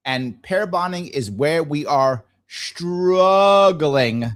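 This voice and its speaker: A man's voice: a little high, lively intonation, very slow. A man hosting a live talk show, giving blunt, argumentative commentary and repeating phrases emphatically to drive points home.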